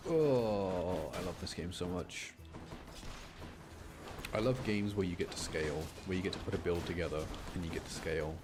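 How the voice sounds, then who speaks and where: Low-voiced man chatting over music. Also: normal speed. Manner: chatty, explanatory, self-interrupting. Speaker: game streamer. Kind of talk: casual live gameplay commentary. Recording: music behind the voice.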